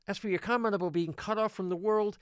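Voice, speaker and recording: A man playing a harried small-island mayor in an audio drama, placating and stammering as he pleads for calm. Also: sits high, fast, smooth, no room sound, clean studio sound, lightly acted. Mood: flustered, placating. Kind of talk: audio drama acting, public town meeting address.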